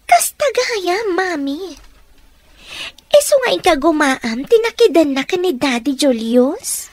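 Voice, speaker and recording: A woman's voice: high-pitched, sing-song, slow, slight background noise, heavily acted. A woman playing a spoiled, snobbish young daughter in a radio drama, whiny and haughty with an Ilocano accent. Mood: curious, sly.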